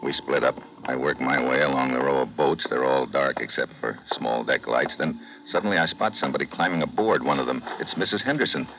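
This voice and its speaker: A very deep-voiced hard-boiled spy. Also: male voice, a little fast, a little hiss or hum. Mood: narrating. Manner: clipped, wry and matter-of-fact. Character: hard-boiled male American secret agent. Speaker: spy narrating his own case. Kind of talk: 1950s radio drama acting. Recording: dull vintage radio broadcast with music.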